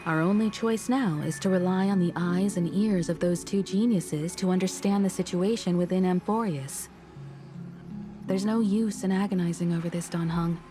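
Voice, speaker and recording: A woman's voice: lowish voice, steady tone, moderate pace, natural voice. An actress playing a practical, reassuring woman leader in an anime game. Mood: steady, reassuring. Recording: music behind the voice.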